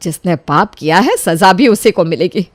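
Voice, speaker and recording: A woman's voice: on the low side, lively intonation, normal speed, no room sound. A woman playing a wronged elderly mother-in-law in a dramatic audio story, speaking solemnly and with emotional emphasis. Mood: resolute, regretful.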